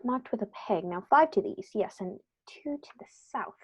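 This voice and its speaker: Woman playing a cool clever detective guy, super precise, thinks out loud, old radio play vibe. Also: medium pitch, expressive, breathy edge. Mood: calm, deductive. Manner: measured, precise, thinks aloud. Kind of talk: 1930s radio play acting.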